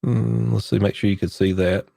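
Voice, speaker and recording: A man hosting a casual live church-tech Q&A from his home studio, sick with a cough, rambling as he clicks through his gear on a boom-arm condenser mic. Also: fairly even intonation, moderate pace, gravelly, dark tone, dry recording. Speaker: church tech livestream host. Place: home studio, heater running behind.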